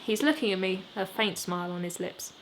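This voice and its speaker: A woman narrates a novel in the first person as its author, in an expressive audiobook style. Her pitch sits in the middle range, and there is light background noise behind her. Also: fairly quick, poor audio. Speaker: author reading own novel. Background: rain ambience.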